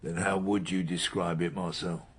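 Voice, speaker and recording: A man's voice: low-pitched, level tone, normal speed, breathy edge. An actor playing a sardonic, hard-nosed male police detective in a radio drama, needling and mocking in a dry manner. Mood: pressing. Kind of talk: naturalistic radio drama dialogue.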